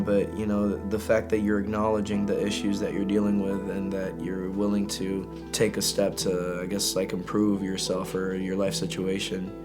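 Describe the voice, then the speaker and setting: A man from Greece, an indie singer-songwriter, talking casually and candidly in an interview in an informal, halting, slangy way. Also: fairly low pitch.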